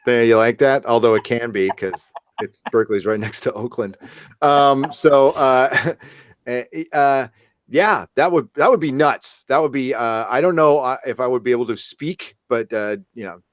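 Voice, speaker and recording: A man hosting a podcast in casual chat, with a halting, stammering, jokey delivery. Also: medium pitch, no room sound, studio quality. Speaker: podcast host.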